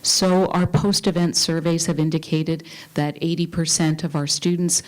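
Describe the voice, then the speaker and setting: A woman's voice: deep voice, flat delivery, normal speed, poor audio. A woman, a community group co-founder, methodically reporting results on a panel. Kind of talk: panel discussion. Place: university event hall.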